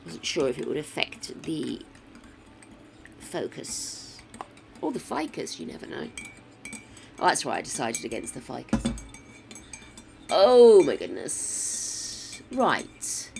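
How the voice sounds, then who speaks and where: An older woman streaming a building game, chatting casually, swearing freely and muttering to herself, her voice croaky from a sore throat. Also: low voice, huge pitch swings, slow, pauses often, darkish tone, a little hiss or hum.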